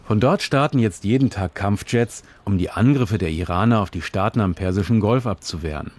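A male radio reporter with a fairly low voice reads a scripted reportage. His delivery is measured and descriptive, like careful storytelling. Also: darkish tone.

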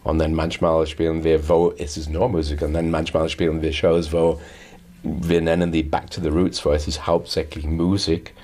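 A man with a native English speaker's accent answering interview questions, halting as he searches for words. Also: very deep voice, level tone, darkish tone. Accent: English-speaking foreign. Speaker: musical comedian in a duo.

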